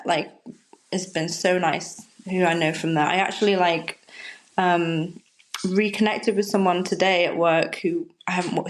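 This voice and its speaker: A woman in her thirties from Liverpool with a softened Liverpool accent, a podcast guest chatting in a rambling way and laughing often. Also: mid-range voice, slight background noise, rough recording. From Liverpool, England. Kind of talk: casual chat.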